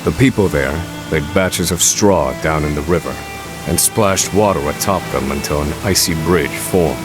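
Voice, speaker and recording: A grave, learned man of fantasy games, with a very low voice. His diction is formal and measured, every phrase deliberate and self-possessed. Music plays clearly behind him. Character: grim, brilliant adult male scholar escaping prison. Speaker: fantasy game character. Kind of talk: dramatic video game voice acting.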